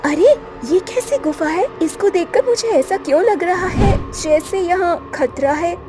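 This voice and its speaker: A woman's voice: extremely high pitch, a little slow, music in the background, pitched above the performer's own voice. A woman voicing a kind, innocent young fairy in a storybook tale, with an earnest, emotional manner. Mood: anxious. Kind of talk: Hindi storybook character acting.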